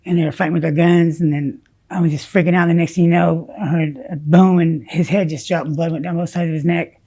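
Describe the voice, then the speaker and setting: Gravelly low-voiced woman, halting talk. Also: steady tone, warm tone. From South Dakota, USA. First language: Spanish. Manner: halting, many fillers and restarts, blunt about grim details. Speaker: interviewee. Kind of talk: candid storytelling interview.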